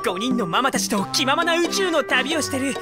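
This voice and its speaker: A woman's voice: high-pitched, expressive, a little fast, loud music behind the voice. A woman playing a cheeky, easily flustered teenage boy in an anime audio drama, blunt and boyish. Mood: flustered, showy.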